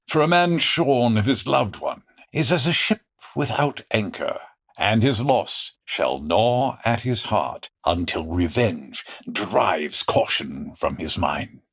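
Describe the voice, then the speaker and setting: A man's voice: lowish voice, lively intonation, slow, a bit bright, slightly rough, exaggerated voice. A man playing a villainous, boastful medieval English sheriff in an audio drama, speaking pompously in archaic English. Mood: gloating menace. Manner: pompous, theatrical.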